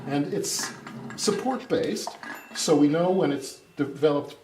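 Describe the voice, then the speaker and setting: A man's voice: on the high side, expressive, slow pace. A man giving an informal live product demonstration, exclaiming and drifting into distracted asides. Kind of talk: informal live tech demo. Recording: echoey, noisy room, distant mic, clattering.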